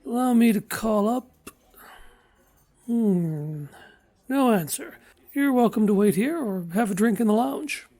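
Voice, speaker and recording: A woman's voice: on the high side, lively intonation, measured pace, long pauses, dark tone. A woman playing a polite hotel desk clerk in an audio drama, courteous and formal.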